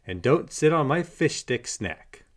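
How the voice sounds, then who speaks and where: A man voicing an eager, well-meaning young cartoon cat in an animated, exclamatory way for a storybook. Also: mid-range voice, a little slow, pitched above the performer's own voice. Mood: helpful.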